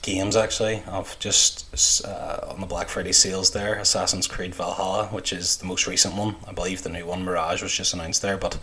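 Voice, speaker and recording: Man, low voice, flat intonation, casual podcast co-host. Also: normal speed, few pauses. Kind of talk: casual chat.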